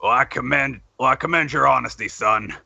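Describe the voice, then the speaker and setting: A man plays a formal, lenient comic-book judge. His voice is bright and creaky, measured as he addresses the court. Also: mid-range voice, dry recording, lo-fi sound, exaggerated voice. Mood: stern, lenient.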